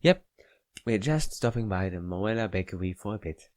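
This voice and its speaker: A man doing a casual playthrough, reading a visual novel's text aloud in a halting way and stumbling over the written lines. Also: fairly low pitch, expressive, a little mellow, no room sound, clean studio sound. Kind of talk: casual read-aloud game commentary. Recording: music behind the voice.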